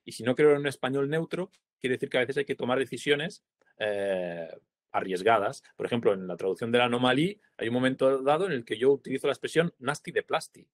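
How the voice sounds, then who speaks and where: A man in his forties from Spain, talking about his craft with a thoughtful, halting rhythm full of fillers. His voice sits at an ordinary middle pitch. The sound is dry and close, with no room around it. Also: no room sound. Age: 40s. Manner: thoughtful, hesitant, many fillers and tag questions. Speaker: translator, prize-winning guest. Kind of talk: reflective interview conversation. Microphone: video call.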